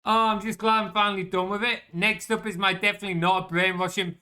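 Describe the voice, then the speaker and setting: A man's voice: high voice, little pitch movement, quick pace, pushed and bright, clean tone. A young man chatting casually while he streams a game, self-mocking and swearing when things go wrong. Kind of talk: casual gameplay commentary.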